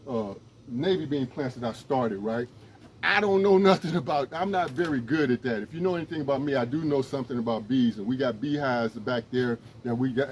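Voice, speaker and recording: A man's voice: mid-range voice, a little mellow, a little hiss or hum. A man, an emergency management instructor, making an earnest, rambling, pleading appeal outdoors, with many restarts. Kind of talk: casual direct-to-camera appeal.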